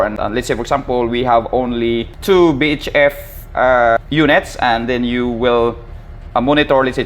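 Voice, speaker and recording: A man casually teaching a hands-on equipment tutorial as an instructor, halting and repetitive, often addressing his listeners directly. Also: medium pitch, a little hiss or hum. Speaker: maritime instructor and channel host.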